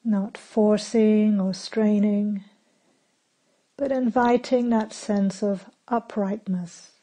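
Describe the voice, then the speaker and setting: A woman meditation teacher leading a guided meditation in a calm, gentle, unhurried manner with long pauses between phrases. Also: mid-range voice.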